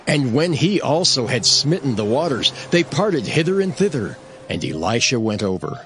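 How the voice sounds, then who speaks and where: A man's voice: mid-range voice, big pitch swings, moderate pace, a bit bright, a little hiss or hum. A man giving solemn, dramatic scripted narration of scripture as a storyteller. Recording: music behind the voice. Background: prominent background music.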